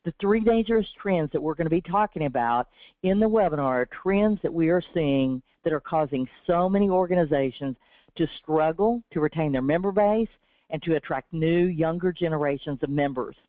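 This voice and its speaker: A woman with a sore, slightly raspy throat presents a webinar from a prepared script in an earnest, encouraging way. Her voice comes through a thin, phone-like line with no room sound around it. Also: low-pitched, lively intonation, brisk, no room sound. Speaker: webinar host from a business development team. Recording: tinny, dry phone-line audio.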